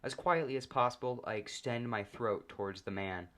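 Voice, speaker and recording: A man reading a comedic first-person story aloud as narrator, in a deadpan manner. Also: mid-range voice, normal speed.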